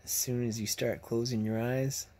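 A young man telling casual stories to camera in an animated, acted-out way, recorded on a phone in a bedroom. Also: medium pitch, normal speed. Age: young adult. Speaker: vlogger telling personal stories. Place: small bedroom, lying in bed. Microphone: phone at arm's length.

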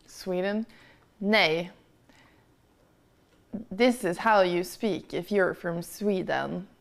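A woman's voice: fairly low pitch, very slow, vocal fry. A young adult woman streamer chatting playfully at home, trying out accents. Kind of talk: casual chat, mimicking accents.

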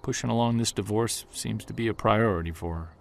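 An actor playing an earnest male Bigfoot investigator who narrates in the first person, measured and defiant toward skeptics. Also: lowish voice, vocal fry. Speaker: narrator and lead character. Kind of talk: audio drama narration.

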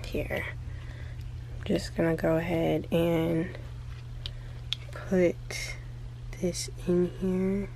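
A young woman with a low voice and gravelly fry chats to a close camera in her bedroom. She is candid and loose, trailing off and restarting between thoughts. A faint hum sits under the room. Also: flat delivery, drawn-out words, long pauses, mellow. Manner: chatty, candid, lots of fillers and trailing thoughts. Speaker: vlogger. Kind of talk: casual vlog talk to camera. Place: small bedroom, indoors.

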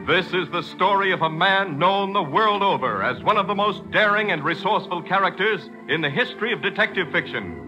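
A man's voice: sits high, animated, brisk, hardly any pauses, highs cut off. An American man announcing in scripted 1940s radio style, with a dramatic, emphatic and polished delivery. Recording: 1940s radio broadcast with music.

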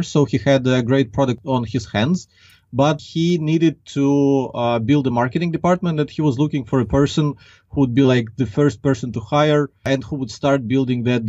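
A man's voice: mid-range voice, a little mellow, no room sound. A man in his thirties from Ukraine with a Ukrainian accent, talking conversationally as an interview guest. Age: 30s. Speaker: interview guest, marketing executive. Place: small acoustically padded room. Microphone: large desk microphone, close. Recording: slightly dull, band-limited online call.

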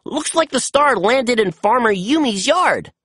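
A storybook reader voicing an eager young rescue pup in an animated way. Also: mid-range voice, measured pace, breathy edge, no room sound, studio quality, pitched above the performer's own voice. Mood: earnest, eager. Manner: animated, exclaiming. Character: eager young rescue pups, high and excitable. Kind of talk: children's storybook character reading.

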